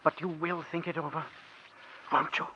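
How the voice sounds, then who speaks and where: An actor playing an earnest, evasive married businessman with a halting delivery, in 1940s radio drama style. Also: a little high, little pitch movement, close to an everyday voice. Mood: pleading, tender. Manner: halting, hesitant. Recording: muffled, noisy old-time radio recording.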